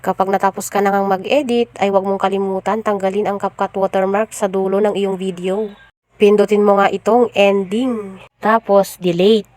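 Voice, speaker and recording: A woman's voice: medium pitch, level tone, normal speed, a little mellow. A woman with a Filipino accent giving a casual step-by-step tutorial voiceover as a vlogger.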